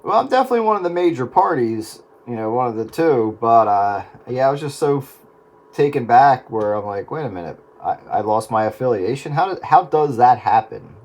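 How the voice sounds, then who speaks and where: A man's voice: mid-range voice, expressive, brisk, darkish tone. A man in a casual, folksy radio chat, talking a little hesitantly as an operator on a two-way radio. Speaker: amateur radio operator in a round-table chat.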